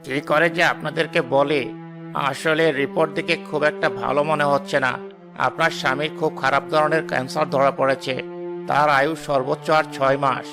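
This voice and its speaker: An actor playing a sober, formal doctor in a cartoon moral story. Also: male voice, on the high side, level tone, moderate pace, close to an everyday voice. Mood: grave. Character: grave doctor delivering a prognosis, formal. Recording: music behind the voice.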